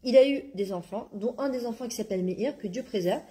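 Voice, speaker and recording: A woman's voice: on the high side, a little fast. Emphatic woman giving a religious class. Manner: animated, emphatic, exclaiming. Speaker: religious teacher.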